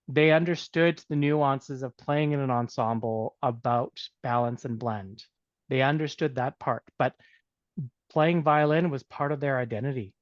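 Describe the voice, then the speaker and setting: A man's voice: mid-range voice, unhurried, dry recording. A man, a music educator who grew up in Canada, telling a story in a reflective, casual way with many fillers and restarts. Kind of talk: casual storytelling on a video call. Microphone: video call. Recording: dull, dry video-call audio.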